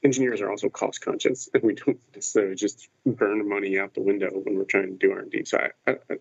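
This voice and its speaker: A man's voice: fairly low pitch, little pitch movement, quick pace, vocal fry, slightly rough. A man making off-the-cuff remarks as a company executive on a conference call. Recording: dull conference-call audio.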